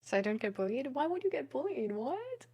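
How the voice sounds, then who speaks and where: A woman's voice: sits high, sing-song, brisk, a little mellow, lo-fi sound. A woman livestreamer chatting casually with her viewers in a hesitant, rambling way that often trails off. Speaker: live streamer.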